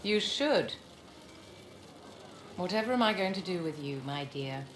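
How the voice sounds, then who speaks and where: A woman's voice: lowish voice, expressive, measured pace, reverberant, noisy background. A woman playing an imperious aristocratic enchantress in a fantasy game, poised, genteel and condescending. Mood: icy, condescending. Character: imperious, silky aristocratic female court enchantress.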